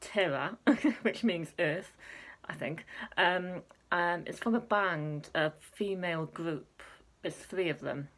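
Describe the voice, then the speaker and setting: A young woman chatting casually to camera, filling pauses with ums and laughing at herself. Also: fairly low pitch, a bit bright. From Wales. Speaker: Eurovision reaction vlogger.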